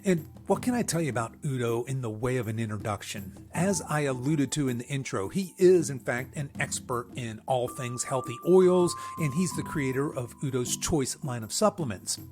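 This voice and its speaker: A man's voice: mid-range voice, lively intonation, moderate pace, hardly any pauses, over music. A man hosting a podcast, delivering a polished scripted introduction and speaking directly to listeners. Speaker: podcast host.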